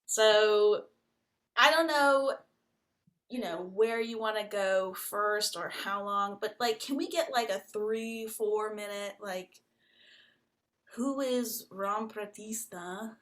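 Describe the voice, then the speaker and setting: A woman's voice: medium pitch, takes it slowly, a bit bright. A woman hosting a casual podcast interview, enthusiastic and gushing, filling her speech with "like" and laughter. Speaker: podcast host.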